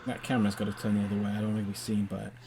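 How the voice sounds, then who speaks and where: A man's voice: lowish voice, little pitch movement, very fast. A man giving casual co-host commentary on a video game playthrough. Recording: faint background noise, keyboard typing.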